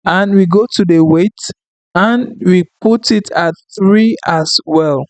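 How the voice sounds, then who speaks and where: Man, French native speaker, high voice, slow delivery, dry close sound, tutorial walkthrough. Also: no room sound. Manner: unhurried, apologetic, thinks aloud with fillers. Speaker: tutorial instructor. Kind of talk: screen-recorded software tutorial.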